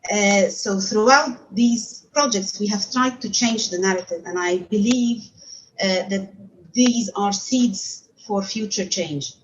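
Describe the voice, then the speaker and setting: A woman's voice: mid-range voice, takes it slowly, a little hiss or hum. A woman in her thirties from Egypt, a native Arabic speaker, giving a talk as a university researcher from her home over a video call, with frequent fillers and restarts. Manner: hesitant, many filler sounds and restarts. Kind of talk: remote talk to an audience. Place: home room, seated in armchair. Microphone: webcam on video call. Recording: slightly dull lo-fi sound.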